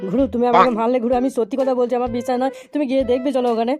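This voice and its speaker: A man voicing an excitable, crude, pleading henchman in an amateur comedy cartoon. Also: very high-pitched, rapid-fire, music in the background. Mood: agitated, pleading.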